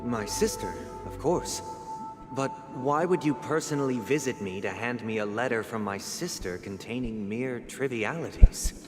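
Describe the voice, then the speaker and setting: An actor playing a refined, courteous young head of a noble family in a game, speaking formally and eloquently with measured composure. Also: male voice, medium pitch, music in the background, natural voice. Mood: puzzled, concerned. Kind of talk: scripted game dialogue and storytelling.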